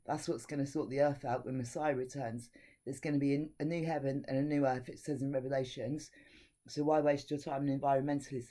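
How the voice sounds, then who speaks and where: A woman's voice: low-pitched, mellow. A woman giving an informal devotional teaching, reading scripture aloud and speaking in an earnest, preachy way. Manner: earnest and preachy, with frank opinions.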